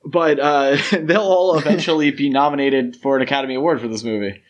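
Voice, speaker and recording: A man's voice: medium pitch, brisk, dull audio. A man hosting a podcast, talking casually about films in an explanatory, opinionated way with long, winding thoughts. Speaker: podcast host. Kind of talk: casual film discussion.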